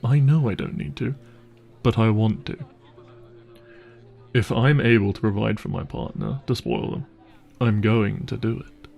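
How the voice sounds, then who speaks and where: A man's voice: fairly low pitch, steady tone, a little slow, vocal fry, darkish tone. A man playing an apologetic, devoted boyfriend with money in an intimate roleplay audio drama, speaking earnestly and tenderly. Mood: earnest, resolute. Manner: earnest, tender, hesitant phrasing with sighs. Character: apologetic, devoted, secretly wealthy boyfriend on a dinner date. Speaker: voice actor.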